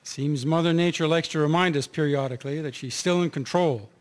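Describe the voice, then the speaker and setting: Businesslike railway boss, slightly high voice, acted. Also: male voice, fairly quick, natural voice. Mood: urgent, businesslike. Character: businesslike railway boss organising snow-clearing crews. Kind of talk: historical radio drama acting.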